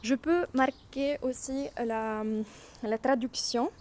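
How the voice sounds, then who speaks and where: A woman's voice: high-pitched, takes it slowly, a little mellow, slight background noise. A woman whose first language is Italian, leading a workshop and demonstrating an app step by step, narrating each click with small hesitations. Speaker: workshop leader teaching learners.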